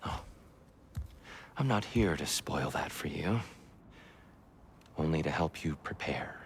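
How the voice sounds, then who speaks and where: A patient old warrior god in a game drama. The voice is low and slow, with measured, philosophical phrasing. He comes across as a wise, reassuring mentor. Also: expressive, vocal fry, a little hiss or hum, heavily acted. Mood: gentle, instructive. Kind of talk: dramatic video game acting.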